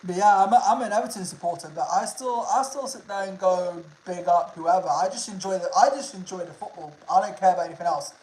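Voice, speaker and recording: A man's voice: sits high, fast talker, hardly any pauses, a little mellow. A man from Liverpool with no regional accent, chatting casually on a nature livestream and answering viewers, laid-back and swearing freely. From Liverpool, England. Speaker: nature streamer. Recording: echoey room.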